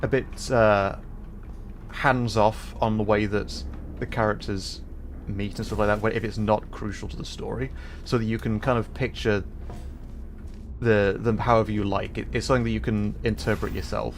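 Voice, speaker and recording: A man with a fairly low voice hosts a casual game livestream. He talks in halting phrases, pausing as he goes. There is faint noise in the background. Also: flat delivery. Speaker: livestream host.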